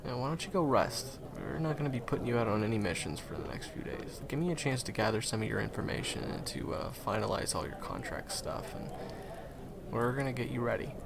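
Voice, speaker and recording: A man's voice: medium pitch, animated, fairly quick, vocal fry. A man playing a friendly, chatty guide to monster hunters in an audio roleplay, explaining things casually and reassuringly straight to the listener. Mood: caring, friendly. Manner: casual, hesitant asides, explanatory. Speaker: voice roleplay performer. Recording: crowd chatter behind the voice. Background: busy crowd ambience.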